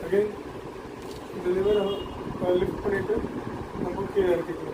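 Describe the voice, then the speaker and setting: A man with a notably high voice and a flat delivery walks through a product demonstration. He, drops in English terms and often restarts phrases in a halting way. Steady, clearly audible noise sits behind him. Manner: halting, repeats words while explaining.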